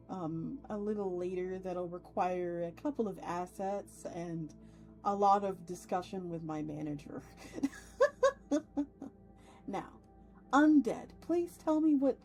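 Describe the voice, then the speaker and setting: A woman's voice: mid-range voice, warm tone. A woman hosting a casual livestream, chatting with viewers in an effusive, playful way with theatrical turns of phrase. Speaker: VTuber livestream host.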